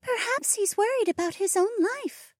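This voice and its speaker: A man voicing a soft-spoken young woman companion in an audiobook, gently wry, in a murmuring manner. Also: very high-pitched, moderate pace, no echo, studio quality, pitched above the performer's own voice. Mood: gentle, unsure. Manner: murmuring, muted. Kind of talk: audiobook character acting.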